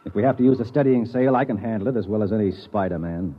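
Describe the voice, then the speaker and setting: An actor in a 1950s radio drama playing a brusque, arrogant space explorer, hard-boiled and edgy. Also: male voice, lowish voice, quick pace, natural voice. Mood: grumbling, cocky. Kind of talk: 1950s radio drama acting with first-person narration. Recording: narrow, tinny, noisy 1950s radio recording.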